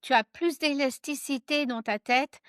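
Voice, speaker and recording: Woman guest on a podcast, high smooth voice, chatty, lots of hesitations, dry sound. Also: unhurried, no room sound. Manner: animated, hesitant, laughs often, mixes in English. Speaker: guest author. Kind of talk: casual interview conversation.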